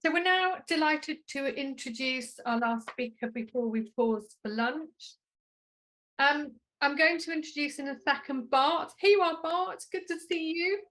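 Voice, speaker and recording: Higher-voiced woman hosting an online event. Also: a little slow, a bit bright, poor audio. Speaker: conference host. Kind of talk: moderating an online panel, introducing speakers. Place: at home, on a video call.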